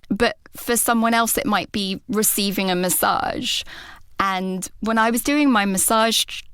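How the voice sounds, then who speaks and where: A female interview host speaks slowly and thoughtfully at a mid-range pitch. She shares personal reflections, pauses to hesitate, and often adds a soft laugh. The sound is dry and close. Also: clear, resonant tone, dry recording. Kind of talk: reflective conversational interview talk.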